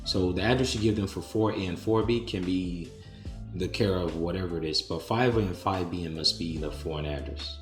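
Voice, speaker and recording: A man hosting a conference call, answering a caller's questions in a measured, explanatory way with some restarts. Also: sits low, roomy sound, faint music behind.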